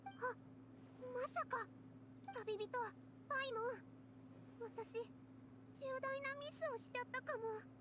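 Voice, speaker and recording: Very high, girlish anime game heroine. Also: steady tone, unhurried, pauses often, heavy background noise. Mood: alarmed. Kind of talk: Japanese video game voice acting. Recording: game dialogue under clear music. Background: game music.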